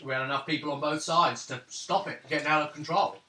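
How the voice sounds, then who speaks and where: A man's voice: fairly high pitch, fast, bright, forceful tone. A man improvising narration and dialogue as a tabletop game master. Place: room around a gaming table. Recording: roomy, distant mic, faint noise.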